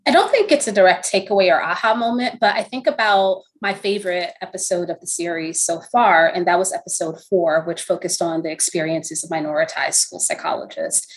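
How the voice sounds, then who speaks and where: Middle-aged woman on a podcast panel, kinda low voice, careful academic way of talking. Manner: measured, articulate academic phrasing. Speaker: panelist, professor. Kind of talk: panel discussion.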